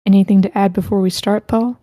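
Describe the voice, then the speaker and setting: A woman's voice: mid-range voice, fairly even intonation, fast talker, warm tone, no room sound, studio quality. A woman hosting an online script table read in a casual, chatty livestream style. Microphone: close mic with a sock over it, online voice call.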